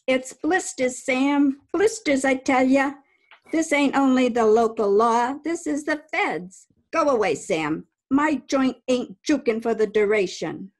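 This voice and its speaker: Actress as cagey juke joint boss: slow, slangy, defiant, higher-pitched, old radio crime style. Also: female voice. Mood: defensive, cagey. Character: tough, cagey underworld juke joint woman. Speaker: amateur radio play actor. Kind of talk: 1940s radio crime drama acting. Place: at home, recorded over video call.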